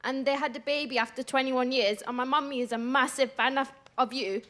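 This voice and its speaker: A woman with a high voice speaks from an audience. Her question comes out personal and halting, in short bursts. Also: steady tone, fairly bright tone. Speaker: audience member asking a question.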